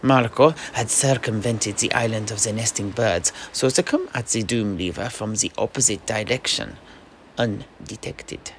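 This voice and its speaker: A man playing a mercenary knight who recounts his grandfather's sea adventure in emphatic, slightly halting narration for a fantasy audio play, with faint ambience behind. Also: fairly low pitch, slight background noise, lightly acted. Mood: dramatic recounting. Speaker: storyteller.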